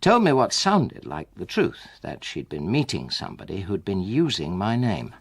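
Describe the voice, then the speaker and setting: Wronged husband, husky, sardonic, controlled, swinging intonation, radio drama. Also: male voice, on the low side, wildly swooping pitch, heavily acted. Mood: dry, sardonic. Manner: dry, sardonic, deliberate. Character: wronged husband, former rugby man, coldly sarcastic and controlled. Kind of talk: naturalistic radio drama acting.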